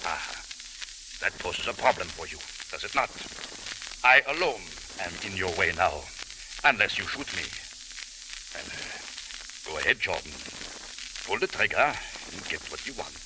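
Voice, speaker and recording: An actor playing a menacing foreign killer obsessed with gold, speaking formally and deliberately in an old radio drama. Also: male voice, low voice, animated. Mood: goading. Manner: formal, deliberate, taunting phrasing. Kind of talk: 1940s-50s radio drama acting. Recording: hissy, crackly old radio recording.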